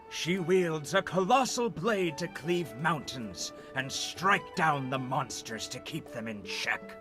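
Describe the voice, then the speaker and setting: Casting: a devout young poet in a fantasy game, high-pitched, unhurried, lyrical, scored with music. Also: male voice, a bit bright. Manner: reverent, lyrical, drawn-out. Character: young male poet, reverently hymning a goddess. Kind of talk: dramatic recitation in a game.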